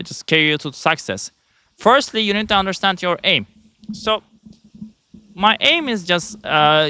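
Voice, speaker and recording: A man's voice: fairly high pitch, pushed and bright, smooth, no room sound. Man with a Turkish accent, rambling. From Turkey. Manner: rambling, many fillers and restarts. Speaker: vlogger. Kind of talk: casual talk to camera.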